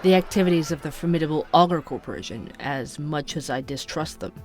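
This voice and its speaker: A man's voice: fairly high pitch, lively intonation, fairly quick, over music, lightly acted. An actor playing an earnest, curious young man who hosts an amateur podcast, narrating an audio drama in a musing way. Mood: brooding, poetic.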